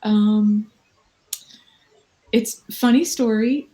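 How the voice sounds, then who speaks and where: A woman's voice: fairly high pitch, extremely slow, dark tone, poor audio. A woman in her thirties hosting a casual late-night video chat with fans from a hotel room, rambling and self-mocking. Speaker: livestream host. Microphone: video call.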